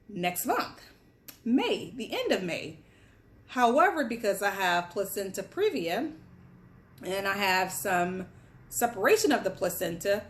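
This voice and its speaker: A woman's voice: medium pitch, fairly bright tone, slight background noise. Woman from Guyana telling her story. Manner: candid, chatty, conversational storytelling. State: pregnant with twins, on bed rest. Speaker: vlogger. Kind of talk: casual personal story to camera. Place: home, at a table among boxes.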